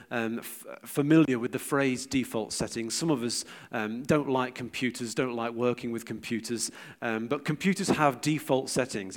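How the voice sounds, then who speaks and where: A middle-aged British man speaks as a church teacher in a mid-range voice. He talks informally and reflectively, often pausing and feeling for his words as he shares personal anecdotes. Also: mid-range voice.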